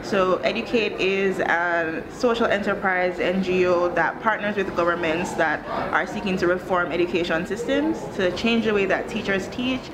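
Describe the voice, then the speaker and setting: A woman's voice: on the low side, normal speed, hardly any pauses, crowd noise. A woman in her thirties from Jamaica, speaking articulately and explaining things in a conversational interview. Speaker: interviewee, country director of an education social enterprise. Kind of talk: conversational interview, telling own career story. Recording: clearly noisy ambient background.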